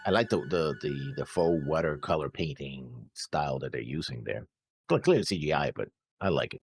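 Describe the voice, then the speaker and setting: A man's voice: rumbling low, animated, normal speed, faint music behind. A man co-hosting a reaction show, defending himself and hedging as his friend teases him. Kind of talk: casual banter reacting to anime.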